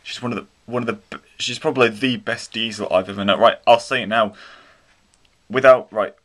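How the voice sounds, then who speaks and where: Enthusiastic British man, lowish voice. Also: fairly even intonation, fairly quick, fairly bright tone. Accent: British English. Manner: enthusiastic, rambling, many restarts and fillers. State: slightly croaky throat. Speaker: model train hobbyist unboxing a locomotive. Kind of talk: casual unboxing commentary. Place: indoors at home, carpeted floor.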